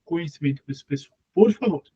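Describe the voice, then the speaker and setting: A man's voice: fairly high pitch, quick pace, mellow, slightly rough. A man hosting an online interview, closing it in an upbeat, motivational way. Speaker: host. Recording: muffled lo-fi sound.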